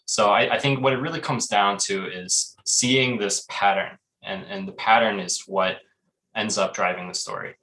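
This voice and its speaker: Male panelist, low bright voice, hesitant and reflective, video call audio. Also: fairly even intonation, low-quality recording. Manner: thoughtful, halting, many fillers and restarts.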